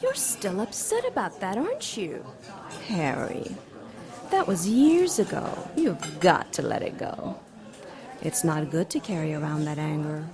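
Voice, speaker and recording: A woman's voice: lowish voice, sing-song, a little slow. A woman voicing a seductive, imperious demon queen in an audio drama, coy, mocking and theatrical. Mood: teasing, coy. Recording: crowd chatter behind the voice. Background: crowd chatter.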